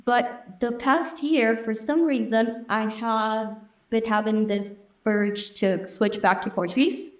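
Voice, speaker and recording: A woman raised in Argentina, a native Spanish speaker, talking as a guest in a casual interview, reflective and unhurried, thinking aloud. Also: on the high side, fairly even intonation. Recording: roomy echoey room.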